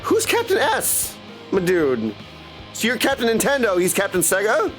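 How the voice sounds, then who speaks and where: A high-voiced man hosts a game livestream over soft music. He talks casually to his viewers, jumping from one reply to the next and leaning on catchphrases. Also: wildly swooping pitch, moderate pace. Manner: chatty, hops between chat replies, repeats catchphrases. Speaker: livestream host playing a video game; voice actor and audiobook narrator. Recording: music playing behind the voice.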